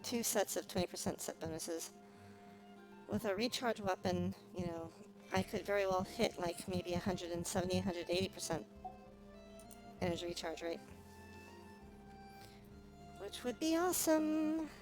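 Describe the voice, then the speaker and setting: A woman's voice: fairly low pitch, moderate pace, pauses often, low-quality recording. A woman streaming a game with casual commentary, thinking aloud in a rambling, hesitant way. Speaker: streamer, vtuber host. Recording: game music playing behind the voice. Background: video game music.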